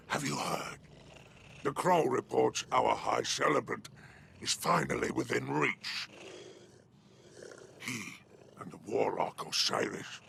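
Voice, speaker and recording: An actor playing a sly, grandiose alien crime lord in a video game, with a growling voice and a theatrical, silkily condescending manner. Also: male voice, medium pitch, background noise, heavily acted. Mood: oily welcome. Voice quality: gravelly, growling. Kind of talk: dramatic video game cutscene acting.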